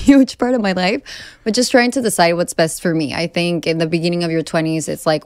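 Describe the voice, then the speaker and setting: A woman's voice: sits low, vocal fry, no echo, studio quality. A woman in her thirties from Venezuela, a guest in a casual interview, talking candidly and laughing at herself often. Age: 30s.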